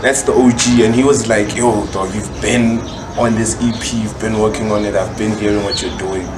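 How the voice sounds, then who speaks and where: A man's voice: fairly low pitch, level tone, normal speed, music in the background. A man talking as a guest rapper in a casual podcast interview, slangy and full of repeated catchphrases. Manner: slangy, repeats catchphrases like "right on" and "you know". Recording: noisy background behind the voice.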